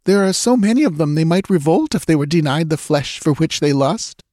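Guy doing a scared young dancing girl, high pleading voice, dry close sound. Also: expressive, fairly quick, a little mellow, dry recording, lightly acted. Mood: explaining. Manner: pleading, breathless.

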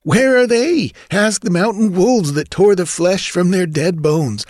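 A man's voice: high-pitched, a little slow, darkish tone, no room sound, studio quality, pitched above the performer's own voice. A man voicing a grim, superstitious bandit henchman, sullen and insistent, in an audiobook adventure. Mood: sullen dread.

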